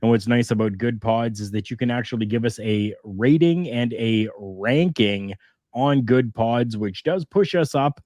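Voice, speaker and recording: A man's voice: mid-range voice, a little slow, darkish tone, dry recording, studio quality. A man hosting a wrestling podcast, chatting in an easygoing way with fillers and restarts. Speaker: podcast host. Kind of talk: casual wrestling podcast chat.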